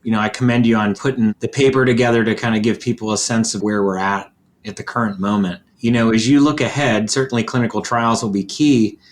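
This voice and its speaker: A man's voice: lowish voice, fairly even intonation, brisk, slight background noise. A man hosting a professional podcast interview, asking questions in a courteous, conversational way.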